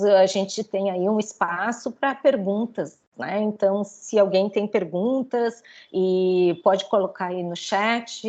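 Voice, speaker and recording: A middle-aged professor introducing speakers at an online lecture, chatty and full of filler tags. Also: female voice, fairly low pitch, darkish tone, muffled recording. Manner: conversational, frequent filler tags. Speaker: professor and event host.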